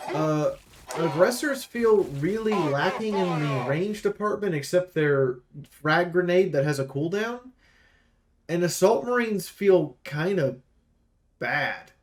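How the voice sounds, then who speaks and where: A man's voice: high-pitched, animated, slow. A man giving unscripted, blunt and emphatic game review commentary in an aggrieved, complaining way as a preview host.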